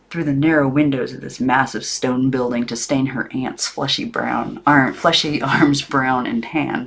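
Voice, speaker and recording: A woman's voice: rumbling low, gravelly, breathy edge. Woman reading a storybook expressively. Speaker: audiobook reader. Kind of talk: storybook narration, read off the cuff.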